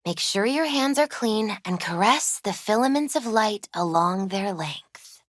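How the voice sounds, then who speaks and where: A woman playing a gentle, spiritual young tarot reader in a video game, soft-spoken, unhurried and often hushed. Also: mid-range voice, lively intonation, no room sound, clean studio sound. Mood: mystical, calm.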